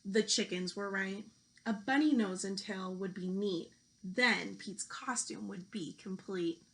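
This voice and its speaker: A woman teacher reading a children's picture book aloud, speaking deliberately to young listeners. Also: medium pitch, fairly bright tone. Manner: slow, deliberate delivery addressed to young children. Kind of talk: children's storybook read-aloud.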